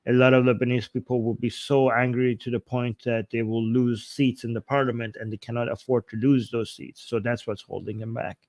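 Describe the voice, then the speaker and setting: A man's voice: mid-range voice, brisk, few pauses, no room sound. Man co-host, emphatic, dry mic. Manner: animated, emphatic, with frequent "like". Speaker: co-host of a news talk show. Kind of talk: casual discussion commentary.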